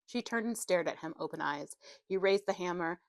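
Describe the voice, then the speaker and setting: A woman's voice: fairly low pitch, moderate pace, no echo. A woman reading a horror novel aloud as an audiobook narrator, telling the story in a dramatic scripted style.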